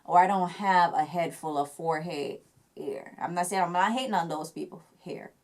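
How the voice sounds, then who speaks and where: A woman's voice: lowish voice, moderate pace, darkish tone. A young woman with a Jamaican accent, chatting casually to camera about her hair care, with lots of fillers and restarts. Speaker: hair vlogger.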